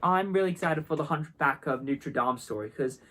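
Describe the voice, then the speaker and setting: A man's voice: fairly high pitch, lively intonation, normal speed, fairly bright tone. A man giving a casual interview as an amateur audio drama cast member.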